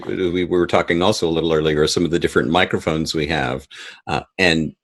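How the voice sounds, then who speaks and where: A man giving a casual interview as an audio drama creator, telling things in an animated way and acting out quoted remarks. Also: extremely low pitch, brisk.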